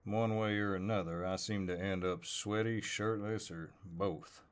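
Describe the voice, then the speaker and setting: A man playing a flirtatious, easygoing cowboy neighbor with a deep voice and a folksy American Southern drawl, in an intimate roleplay audio. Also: steady tone, clear, resonant tone. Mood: playful, flirtatious. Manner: folksy drawl, playful and unhurried.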